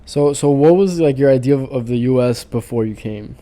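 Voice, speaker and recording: Male podcast host, very dark mellow voice, curious short questions. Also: medium pitch, fairly quick. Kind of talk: casual interview chat.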